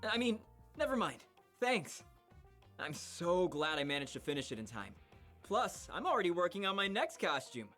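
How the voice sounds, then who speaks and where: A man's voice: high-pitched, big pitch swings, normal speed, sharp tone, heavily acted. A man voicing an eager, goofy middle-school boy in a visual novel, with music behind him. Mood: earnest, nervous.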